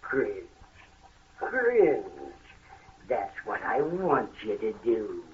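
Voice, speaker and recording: Slow, gloating radio villain, tinny recording. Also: male voice, medium pitch, huge pitch swings, a little hiss or hum, full character voice. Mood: menacing. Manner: slow, taunting, commanding, with sinister chuckles. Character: menacing, gloating villain in a coffin, controlling his sister. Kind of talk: 1930s radio mystery acting. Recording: tinny, phone-like old 1937 radio recording.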